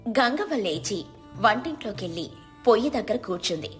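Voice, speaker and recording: A woman narrating a village moral story in a calm, measured reading voice. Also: fairly high pitch, faint music behind.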